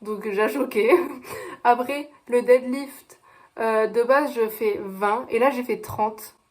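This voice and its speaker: Jeune femme au ton aigu, bavarde et rieuse. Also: female voice, fairly high pitch, slow. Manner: chatty, excitable, laughs often. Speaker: student vlogger. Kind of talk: casual vlog diary.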